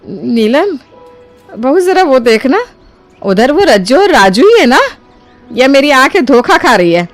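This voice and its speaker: A woman voicing a trusting, soft-hearted older mother-in-law in a cartoon moral story, with an earnest, expressive delivery. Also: high voice, a little slow, long pauses. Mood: bewildered, questioning. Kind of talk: animated Hindi moral story dialogue. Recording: music behind the voice. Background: music.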